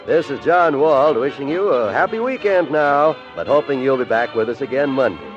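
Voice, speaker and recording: A man announcing a radio show in a smooth, friendly sign-off style. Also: mid-range voice, expressive, moderate pace. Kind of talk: scripted announcing. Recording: muffled old radio transfer. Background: theme music.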